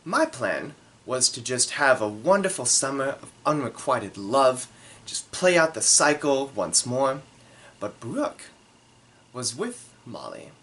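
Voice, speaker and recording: A man telling a comedic story from his own life in a theatrical, self-deprecating way, with dramatic pauses. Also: medium pitch, big pitch swings, fairly bright tone.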